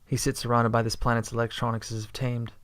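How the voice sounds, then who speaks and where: A man reading scripted first-person sci-fi narration in a steady, understated way. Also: medium pitch, quick pace. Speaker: narrator and protagonist.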